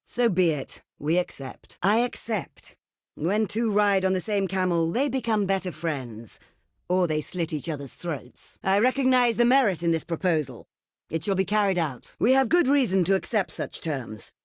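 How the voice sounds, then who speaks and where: A woman's voice: lowish voice, sing-song, moderate pace, no echo, clean studio sound. A woman playing a proud, imperious ancient desert queen for a video game, speaking in a regal, theatrical, declamatory way. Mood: grudging acceptance. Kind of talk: video game diplomacy voice lines.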